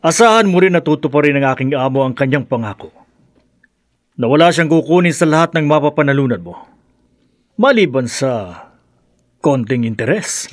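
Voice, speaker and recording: A man's voice: fairly high pitch, expressive, moderate pace, pauses often, dry recording, studio quality, lightly acted. An actor playing the persuasive henchman of a sinister master in a horror radio drama, formal, assured and coaxing.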